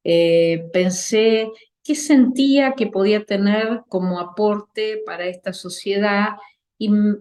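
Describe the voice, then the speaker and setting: A woman from Argentina with an Argentine accent, an actress and theater director speaking as a guest in a reflective interview, measured and thoughtful with pauses. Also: medium pitch, a little mellow. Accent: Argentine Spanish.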